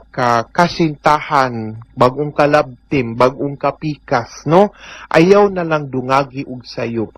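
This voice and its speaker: High-voiced Filipino man giving radio advice. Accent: Filipino Cebuano. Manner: emphatic, code-switches with English. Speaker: radio legal advice host.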